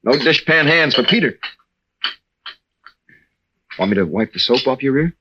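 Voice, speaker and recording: An actor playing a troubled young American navy veteran and husband, speaking earnestly and haltingly in an old radio drama. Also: male voice, medium pitch, animated, pushed and bright, a little hiss or hum. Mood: gently coaxing. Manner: halting, earnest, with stammered restarts. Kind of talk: 1940s-50s radio drama acting. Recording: dull old-time radio recording.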